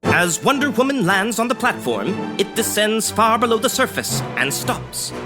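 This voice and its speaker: A dramatic radio serial narrator played by a man, high for a male voice. He swoops through suspenseful scripted narration with music behind him. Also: big pitch swings, moderate pace.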